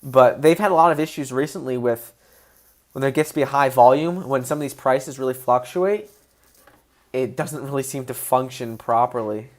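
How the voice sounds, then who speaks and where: A man hosting a casual livestream, excitable and answering viewers' chat questions as he goes. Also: medium pitch, normal speed. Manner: excitable, voice rises when passionate.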